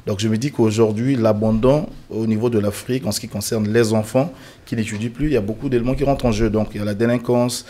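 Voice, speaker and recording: A man originally from the Republic of the Congo speaks as a guest on a televised panel discussion. His voice sits a little low, and he argues earnestly and emphatically, with frequent fillers and restarts. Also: fairly even intonation, fast, dark tone.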